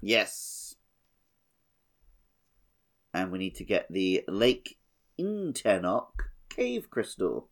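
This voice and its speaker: A man's voice: lowish voice, huge pitch swings, drawn-out words, pauses often. A man co-hosting a gaming podcast in casual, joking conversation. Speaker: podcast co-host.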